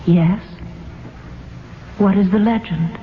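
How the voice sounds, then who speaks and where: An actress playing a calm, compassionate, fearless young woman in a 1970s radio drama, earnest and composed. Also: mid-range voice, slow, natural voice. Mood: curious, pitying. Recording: phone-like vintage radio broadcast, faint noise.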